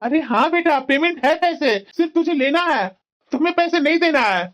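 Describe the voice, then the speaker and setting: A man's voice: very high-pitched, expressive, a little fast, background noise. A man playing an evasive husband and doting uncle in a moral-story cartoon, irritable at home. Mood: indulgent.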